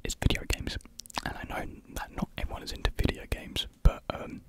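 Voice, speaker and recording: A young British man whispering a casual, hesitant ASMR ramble in a breathy voice, very close to a desktop microphone. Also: brisk. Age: young adult. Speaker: ASMR video creator. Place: small indoor room at home. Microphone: large USB desktop mic, very close.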